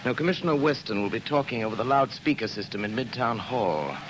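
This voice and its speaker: An actor playing a calm, commanding gentleman detective in an old radio drama, speaking in a measured, authoritative way. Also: medium pitch, natural voice. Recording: noisy old radio recording.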